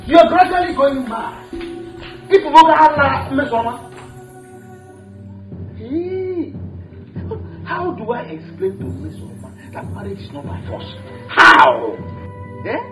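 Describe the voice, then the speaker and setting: A man's voice: extremely high pitch, normal speed, over music, low-quality recording. A man playing a young man pleading his love, in a coaxing tone.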